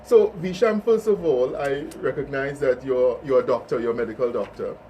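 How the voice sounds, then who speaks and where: A man's voice: mid-range voice, normal speed, warm tone. A man, hosting an informal interview and speaking as an organiser. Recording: distant mic, faint background noise.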